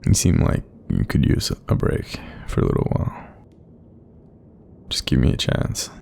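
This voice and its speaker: An Australian man voicing a kindly, apologetic sleep paralysis demon in an intimate audio roleplay, soft-spoken, hesitant and breathy, gently reassuring the listener. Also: unhurried, slight background noise, lightly acted. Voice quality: very deep, breathy, creaky.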